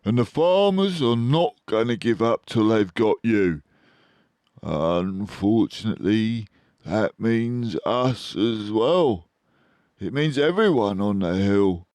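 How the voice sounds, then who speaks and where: A man voicing a frantic, starving, grumbling adult male badger in a children's storybook, excitable and full of despair. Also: fairly low pitch, no room sound, pitched above the performer's own voice. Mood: furious. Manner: excitable, despairing, drawn-out exclamations.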